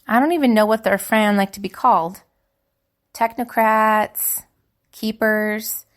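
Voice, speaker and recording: A woman's voice: fairly high pitch, steady tone, a little slow, natural voice. A woman playing a young spellcaster student in an audio drama, confiding and hesitant, anxious and wistful. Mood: anxious, musing. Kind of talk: dramatic monologue in fiction podcast.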